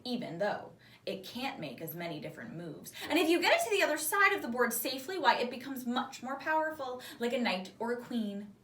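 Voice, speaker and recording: A woman reading aloud plays a small, eager male storybook creature. He is earnest and insistent, with a lively, swooping delivery. The sound is roomy and slightly distant. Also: on the high side, fairly quick. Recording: roomy echo, distant microphone.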